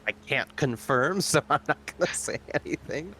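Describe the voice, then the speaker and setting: A man chatting casually as a tabletop game player, deliberate and dry. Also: fairly high pitch, expressive, pushed and bright, slight background noise.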